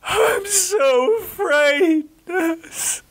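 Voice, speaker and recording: A man voicing a terrified, sobbing patient haunted by nightmares of the devil, in a high cracking voice. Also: extremely slow, fairly bright tone, heavily acted. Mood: sobbing terror.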